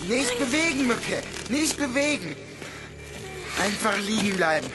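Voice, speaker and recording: A plucky schoolboy from an audio drama, protective and reassuring. He speaks slowly with urgent, wide-ranging pitch. Loud music sits behind him. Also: big pitch swings. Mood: tense, soothing. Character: plucky, protective schoolboy calming a frightened friend.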